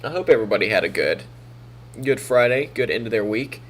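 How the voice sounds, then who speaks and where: A man's voice: medium pitch, fairly quick, slight background noise, poor audio. A man streaming on a weekend morning, chatting casually with a groggy, froggy morning voice. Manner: relaxed, talking to himself while troubleshooting. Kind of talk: casual live-stream chat.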